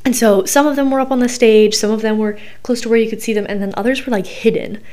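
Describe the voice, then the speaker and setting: A young woman with a hoarse, worn-out voice, hosting a podcast in a rambling, chatty way full of fillers. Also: a little high, fairly even intonation, quick pace, darkish tone. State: hoarse, recovering from losing her voice. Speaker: podcast host. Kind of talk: casual solo podcast chat.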